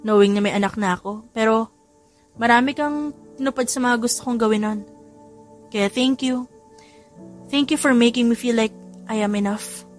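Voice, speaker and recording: A woman's voice: a little high, normal speed, pauses often, faint music behind, close to an everyday voice. A woman with a Filipino accent voicing a playful, affectionate young mother in a romance audiobook. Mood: tender.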